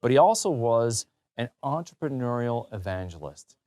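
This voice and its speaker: A man's voice: medium pitch, very animated, normal speed, dark tone. A man hosting a talk show in a conversational, enthusiastic and emphatic way.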